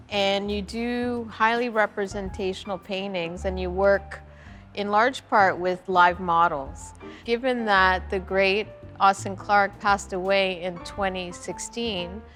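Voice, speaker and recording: Woman interviewer, medium pitch, slightly slow pace, prepared questions. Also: fairly even intonation, fairly bright tone, soft background music.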